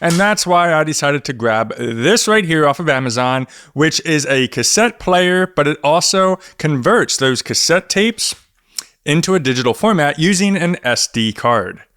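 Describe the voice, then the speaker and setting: A man's voice: a little high, expressive, normal speed, a bit bright, no room sound. A man in his thirties giving a conversational, step-by-step product review to camera, close on a desk microphone. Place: small home office studio, indoors. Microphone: close desk microphone on boom arm.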